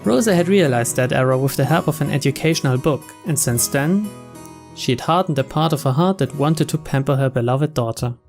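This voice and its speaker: Male narrator, fairly high register, reading visual novel prose over soft guitar music. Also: animated, fairly quick. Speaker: let's play narrator reading game text aloud. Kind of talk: scripted narration.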